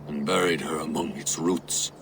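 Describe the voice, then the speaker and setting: Very deep, grieving Norse berserker. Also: male voice, fairly even intonation, gravelly, background noise. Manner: slow, solemn, poetic. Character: grieving, aging Norse berserker warrior, solemn and weary.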